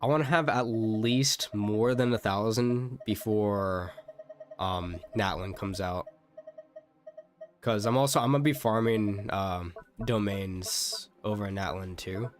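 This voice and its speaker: A man with a fairly low voice gives casual live commentary on a game, rambling as he thinks aloud. Game music plays clearly behind him. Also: slow pace. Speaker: game streamer.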